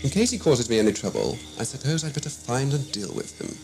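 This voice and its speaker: A man playing a smug, condescending alien schemer in an audio drama, measured and theatrical. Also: medium pitch, huge pitch swings, vocal fry, over music. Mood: calculating. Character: scheming, suave, condescending male renegade Time Lord villain.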